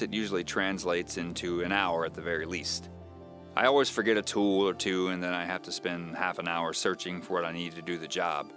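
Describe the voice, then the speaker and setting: A man's voice: low-pitched, a bit bright. A man narrating a scripted first-person mystery audio drama as a wry, self-deprecating novelist.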